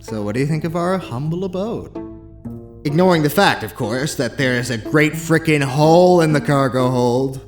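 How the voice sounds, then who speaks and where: A man's voice: medium pitch, very animated, unhurried. Man playing a cocky, swooping flirt of a space engineer. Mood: playful. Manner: playful, boastful, teasing drawl. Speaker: voice actor. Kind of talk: visual novel fan dub. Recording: music behind the voice.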